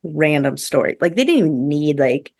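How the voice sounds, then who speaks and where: A woman's voice: low voice, big pitch swings, normal speed, gravelly, darkish tone, no echo, clean studio sound. A woman podcast host chatting casually and giving her opinions, with a lot of "like" thrown in. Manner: chatty, heavy use of "like", opinionated. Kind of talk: casual review chat among co-hosts.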